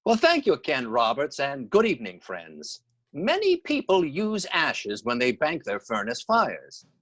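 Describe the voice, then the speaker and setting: An actor playing a heating expert in a vintage radio commercial, giving formal, instructive household advice. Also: male voice, medium pitch, wildly swooping pitch, measured pace, fairly bright tone, exaggerated voice. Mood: helpful, earnest. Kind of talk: scripted 1940s radio commercial.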